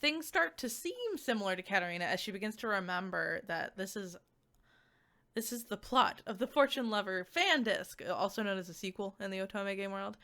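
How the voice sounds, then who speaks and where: A woman's voice: medium pitch, expressive, moderate pace, pushed and bright. A woman giving a casual, unscripted game review as a VTuber, rambling and giggly as she interrupts herself.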